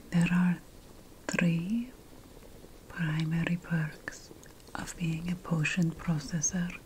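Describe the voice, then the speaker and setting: A woman voicing a sly, conspiratorial potion-making witch in an ASMR fantasy roleplay, whispering slowly and deliberately with dramatic pauses. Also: on the low side, a little mellow, a little hiss or hum, poor audio. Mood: hushed, confiding.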